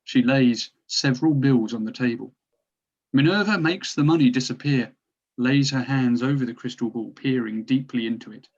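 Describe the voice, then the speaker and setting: A man's voice: medium pitch, moderate pace. A man narrating stage directions from a drama script, recorded on dull, lo-fi audio.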